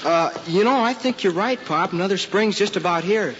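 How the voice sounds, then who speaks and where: A man's voice: high voice, moderate pace, fairly bright tone. A young male actor with an American accent playing a polite, earnest schoolboy in an old radio drama, talking tentatively and respectfully to his elders. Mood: tentative, coaxing. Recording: dull hall-like vintage recording, faint noise.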